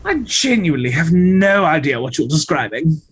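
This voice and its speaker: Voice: medium pitch, sing-song, moderate pace, slightly rough. An actor reading a campy, arch young male dancer in a table read, with a languid, theatrical delivery.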